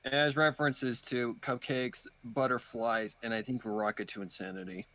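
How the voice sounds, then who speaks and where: A man's voice: medium pitch, steady tone, moderate pace, a bit bright. A man chatting casually as a co-commentator. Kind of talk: casual chat.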